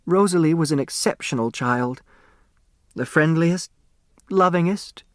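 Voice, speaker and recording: Sweet sixty-year-old dressmaker, played by a male reader: high voice, doting, gentle, audiobook. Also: a little slow, dry recording, studio quality, lightly acted. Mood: tender. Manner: fond, flattering, soft-hearted.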